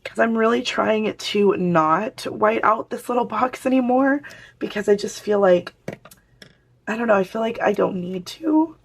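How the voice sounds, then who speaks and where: Woman, hoarse voice, casual crafting vlog chat, mid pitch. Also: expressive, measured pace. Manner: chatty, talks to viewers while working. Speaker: planner vlogger.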